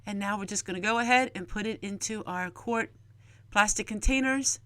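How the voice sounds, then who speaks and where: A woman's voice: medium pitch, moderate pace, bright, forceful tone, dry recording. A woman narrating her homemaking in a casual vlog, chatty and folksy, as if talking aloud to herself. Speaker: homesteading vlogger.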